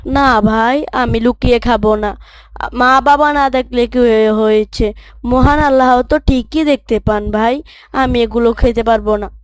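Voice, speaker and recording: Woman voicing a high, earnest good little boy. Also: measured pace, no echo, lightly acted. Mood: firm refusal. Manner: earnest, polite, gently scolding. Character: pious, well-behaved young boy, worried and disapproving. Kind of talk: children's moral cartoon dialogue.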